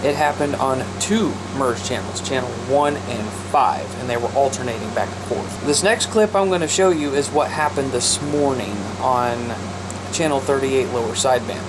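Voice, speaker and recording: A man speaks over loud background noise in a mid-range voice. He talks casually to his viewers like a hobbyist host, repeating phrases for emphasis. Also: mid-range voice, normal speed. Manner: repetitive, emphatic, addresses listeners as guys. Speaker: radio hobbyist vlog host. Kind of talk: casual vlog talk to viewers.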